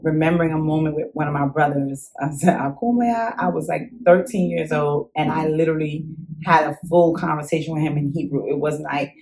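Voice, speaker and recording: A young woman talks through her childhood memories in an easygoing interview. She is animated, laughs often and acts out what she felt back then. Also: low-pitched, a little fast, rough recording. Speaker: interview guest. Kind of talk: casual conversational storytelling.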